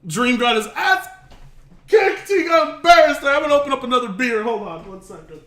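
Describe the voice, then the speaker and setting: Man, casual stream chat, rambling, hesitant, bright voice, echoey room. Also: extremely high pitch, animated, normal speed, a little hiss or hum. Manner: rambling, hesitant, swears and laughs often. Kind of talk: casual live-stream reaction.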